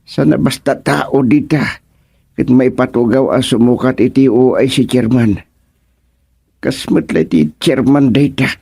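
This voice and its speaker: A man's voice: mid-range voice, unhurried, gravelly, mellow, husky. An actor with an Ilocano Filipino accent playing an ailing, grumbling older village councilman in a radio comedy drama. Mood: weary.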